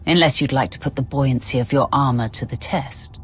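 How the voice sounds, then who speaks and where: A woman's voice: rumbling low, brisk, a little hiss or hum. An actress playing a cool, stern female Sith warrior in a video game, curt and commanding, with music behind. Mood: cold warning. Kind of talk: video game cutscene acting.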